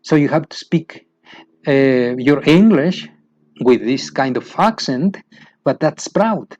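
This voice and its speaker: A man's voice: a little high, lively intonation, takes it slowly, a little mellow, slightly rough, no room sound, dull audio. A man from Mexico with a Mexican accent, a Spanish speaker teaching other teachers about an English method, repeating points and often asking "you know why?". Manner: repetitive, asks "you know why?" with rising emphasis. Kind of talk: instructional talk to teachers.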